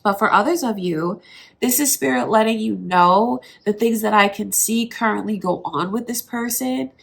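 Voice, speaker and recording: A woman reading tarot cards in an easy, conversational way, speaking right to the listener. Her voice sounds stuffed up and congested from allergies, sitting at a middle pitch for a woman. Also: normal speed, gravelly. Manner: conversational, reassuring, talks straight to the viewer. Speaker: tarot reader. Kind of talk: casual tarot reading to camera.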